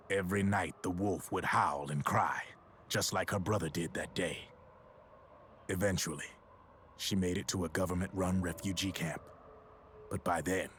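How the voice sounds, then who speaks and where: An actor playing a grave older man who solemnly and deliberately recounts a war tragedy in a video game cutscene. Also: low-pitched, fairly bright tone, slight background noise.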